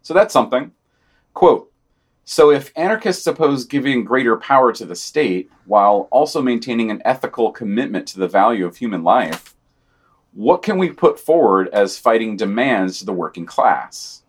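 A man with a mid-pitched voice co-hosts a podcast digest. He reads scripted items and quotations in a dry manner, adding short asides. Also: expressive, moderate pace, breathy edge. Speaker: podcast co-host.

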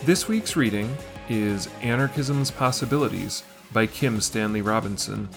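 A man's voice: on the low side, measured pace, creaky. A man presenting a podcast reading segment, reading a scripted introduction and essay aloud. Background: guitar music.

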